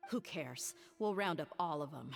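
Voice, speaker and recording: Tough, low-voiced mercenary heroine. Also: female voice, expressive, normal speed, creaky, faint music behind. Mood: cool defiance. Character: tough, self-assured young female mercenary, blunt and cool. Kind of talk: video game voice acting.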